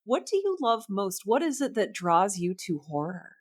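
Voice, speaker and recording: A woman's voice: medium pitch, sing-song, moderate pace. Effusive woman hosting a podcast. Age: 30s or older. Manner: effusive, encouraging, informal. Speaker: podcast host, interviewer. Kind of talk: casual conversational interview.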